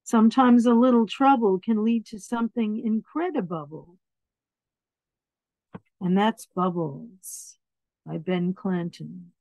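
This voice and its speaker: A woman reading children's picture books aloud in an expressive way, adding asides about the pictures. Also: medium pitch, slow pace, long pauses, no echo, low-quality recording. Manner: expressive, acts out words and dialogue.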